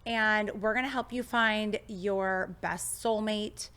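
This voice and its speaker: A woman's voice: medium pitch, steady tone, slow, a bit bright, clean tone. A woman in her thirties hosting a podcast in a studio, bubbly and enthusiastic as she chats with her guest. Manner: bubbly, enthusiastic, scripted intro then chatty. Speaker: podcast host. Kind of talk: upbeat podcast interview.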